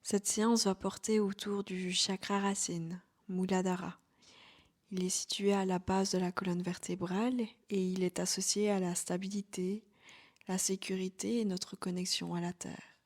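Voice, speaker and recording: A woman leading a guided relaxation as a yoga instructor, speaking softly and calmly with breathy, near-whispered phrases. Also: medium pitch, normal speed. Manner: soft, calm, soothing delivery with breathy, near-whispered phrases. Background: background music.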